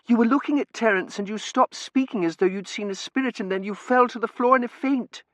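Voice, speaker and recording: A man's voice: high voice, a little fast, a bit bright, no room sound, pitched above the performer's own voice. A man voicing an anxious, girlish young daughter in an audiobook, fussing and exclaiming breathlessly.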